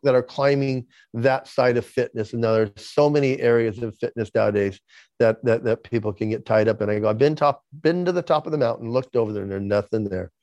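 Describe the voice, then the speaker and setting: A man in his sixties with a noticeable lisp tells his story at length in an interview, stumbling over his first words before finding his thread. The recording is dry and slightly muffled, with little high end. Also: a little fast, darkish tone, no echo. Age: 60s. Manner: repeats words when starting sentences. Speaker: podcast guest.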